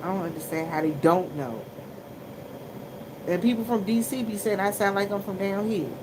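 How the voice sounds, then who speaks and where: A woman from Washington, D.C. talking casually and colloquially to viewers, telling stories in an animated way. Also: mid-range voice, moderate pace. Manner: animated, colloquial, acts out other people's remarks. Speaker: vlogger and gas station worker. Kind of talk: casual chatty storytelling to viewers. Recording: noisy room with loud hum. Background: loud humming background noise.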